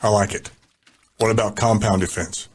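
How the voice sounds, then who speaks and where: Man acting a low-voiced, curt warlord boss. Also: steady tone, normal speed, slight background noise, lightly acted. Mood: calculating. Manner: curt, commanding. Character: commanding warlord boss, curt, calculating, hungry for territory. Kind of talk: audio drama acting.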